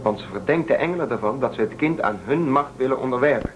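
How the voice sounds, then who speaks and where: A man's voice: medium pitch, animated, quick pace, slight background noise, lightly acted. A man playing a defiant, suspicious spaceship crewman in a radio play, urging and persuading. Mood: insistent. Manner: urgent, persuasive, suspicious of authority.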